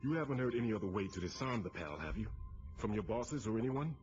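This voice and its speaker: A man acts as a captive government chief in a video game cutscene. He is low-pitched, deliberate and urgent, explaining like a conspirator. The recording is slightly dull, with loud music underneath. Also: lively intonation, lightly acted. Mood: anxious, probing. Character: captive male defense agency chief, urgent and probing.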